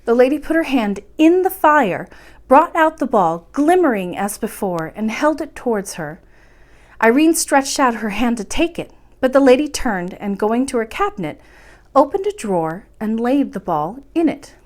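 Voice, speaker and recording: A woman storyteller reading a bedtime story aloud in expressive narration. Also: on the high side, moderate pace.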